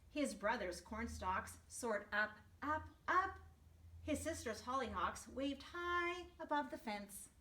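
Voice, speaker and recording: High, bright woman teacher in an echoey room. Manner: expressive, explaining to children, spells out words. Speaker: first grade teacher reading to her class. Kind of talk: storybook read-aloud for young children with asides. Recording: echoey room, distant microphone.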